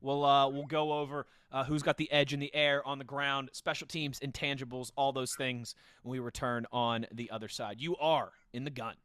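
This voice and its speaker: A man's voice: mid-range voice, level tone, moderate pace, few pauses, a bit bright, clean tone, no echo, clean studio sound. A man hosting a sports podcast in an informal, jokey way, moving through segments and banter. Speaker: podcast host.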